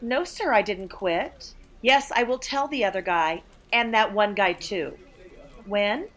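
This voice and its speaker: A woman's voice: medium pitch, measured pace, fairly bright tone, natural voice. A woman playing an aloof, blunt saleswoman in a comedic audio play, with a dry, dismissive manner. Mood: brisk, polite. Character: aloof, blunt, sardonic female office saleswoman named Tuesday.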